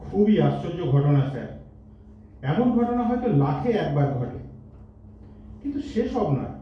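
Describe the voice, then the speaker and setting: A man acting a pragmatic senior company adviser in a staged audio drama, deliberate and deferential. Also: high-pitched, sing-song. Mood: cautioning. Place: multipurpose hall stage. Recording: echoey hall, distant mic, noisy, dull.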